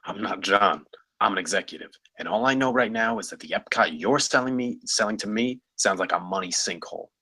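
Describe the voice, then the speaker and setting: A man playing a blunt, challenging company executive in a screenplay table read, with a rough voice and a brisk, commanding manner. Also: fairly low pitch, pushed and bright. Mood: blunt, demanding.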